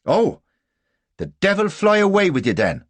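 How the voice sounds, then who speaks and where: A man voicing a teasing, quick-tempered young Irish woman with a husky voice and Irish accent, in an audiobook story. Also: mid-range voice, huge pitch swings, darkish tone, dry recording, voice pushed up. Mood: angry.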